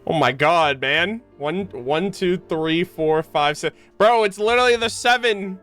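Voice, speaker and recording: High-pitched, bright male game streamer. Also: a little slow. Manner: slangy, joking, reactive. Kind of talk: casual live game commentary. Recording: game music behind the voice.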